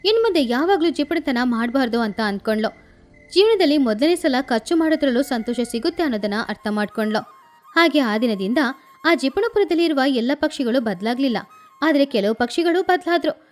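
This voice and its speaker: A woman tells a children's moral tale in a high-pitched voice. It is scripted storytelling narration with music playing behind her. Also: animated, fairly quick. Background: background music.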